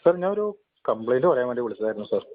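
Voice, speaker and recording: Male caller, polite but insistent complainant. Very quick delivery, thin telephone sound. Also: mid-range voice, sing-song, a little hiss or hum. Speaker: temple head priest, complainant caller. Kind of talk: formal phone complaint. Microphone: telephone call. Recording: tinny narrow phone line.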